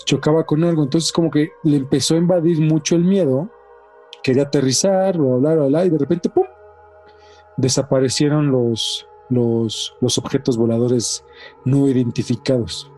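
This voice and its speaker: Male podcast host, Mexican accent, mellow timbre, casual emphatic storyteller, light music bed. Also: medium pitch, fairly even intonation, moderate pace. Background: faint music.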